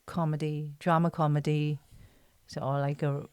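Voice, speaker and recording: A woman from China with a Chinese accent, chatting in an interview and often pausing to search for words. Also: deep voice, flat delivery, a little mellow, clear, resonant tone, dry recording. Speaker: interview guest, actress and writer. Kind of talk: casual interview chat.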